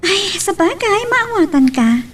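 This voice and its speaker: A woman's voice: extremely high pitch, sing-song, measured pace, far from the mic, heavily acted. A woman acting in an Ilocano radio drama as a shy, polite young woman. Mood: shy, grateful.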